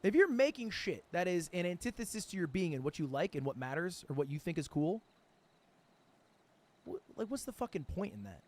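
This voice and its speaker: A man's voice: sits high, big pitch swings, a little fast. A man giving emphatic, swearing motivational advice to streamers in a coaching tone.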